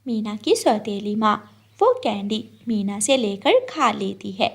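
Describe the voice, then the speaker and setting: A woman's voice: medium pitch, animated, unhurried, vocal fry, breathy edge. A woman storyteller narrating a scripted children's story.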